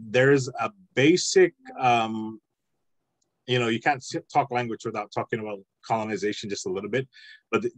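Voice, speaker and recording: A man teaching an introductory Samoan language lesson online, explaining at a relaxed pace with frequent ums and uhs. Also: fairly low pitch, no room sound, low-quality recording. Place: home, online video call.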